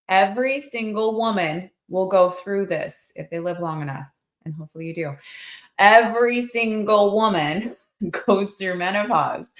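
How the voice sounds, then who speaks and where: A woman in her forties with an American accent, chatting informally and expressively to livestream viewers as a nurse practitioner hosting a Q&A. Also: on the low side. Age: 40s. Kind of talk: casual livestream Q&A chat. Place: indoor clinic room under construction. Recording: echoey, roomy livestream sound.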